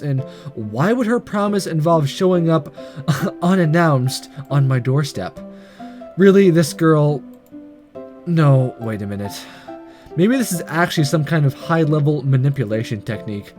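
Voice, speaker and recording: A man hosting a video, reading a visual novel aloud in an expressive narrating style. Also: on the high side, unhurried, soft background music.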